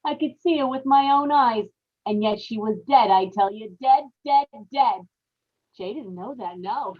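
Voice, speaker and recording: Woman acting a high-voiced scheming murderous wife. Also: unhurried, phone-quality sound. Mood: haunted, confessing. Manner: dramatic, folksy, emphatic repetition. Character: scheming, guilt-ridden murderous wife, cold, then panicked. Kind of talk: old time radio horror acting, confessional narration and dialogue.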